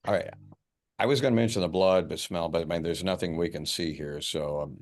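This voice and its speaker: A man plays a police detective in a horror film script, low-pitched and casual. He is blunt and perceptive, with understated, halting delivery. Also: a little mellow, natural voice. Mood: pensive, probing. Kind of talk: actor's table read of an indie horror film.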